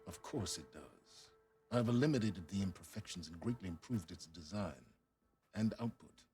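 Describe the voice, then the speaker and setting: An actor playing an aloof, coldly disdainful older genius in a video game, speaking with haughty, measured precision. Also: male voice, low-pitched, lively intonation, warm tone, soft background music. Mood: proud. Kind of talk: naturalistic game acting.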